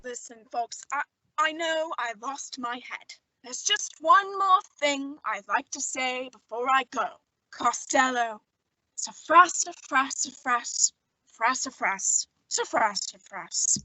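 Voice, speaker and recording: A woman's voice: high-pitched, animated, slow, noisy background. A woman playing a pompous, huffy male film director who sputters nonsense in an old-time radio comedy. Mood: solemn.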